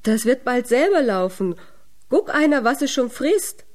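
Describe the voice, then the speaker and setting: A woman's voice: on the high side, big pitch swings, measured pace, a little mellow, pitched above the performer's own voice. A woman storyteller voicing a simple, grumbling cowherd in a fairy tale. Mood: pleased. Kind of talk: storytelling character voice.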